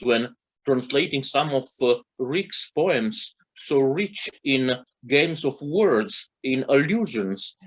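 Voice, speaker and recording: A man whose first language is Romanian, co-hosting a literary interview and pausing often to search for words. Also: mid-range voice, low-quality recording. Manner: halting, searching for words with many fillers. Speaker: co-host.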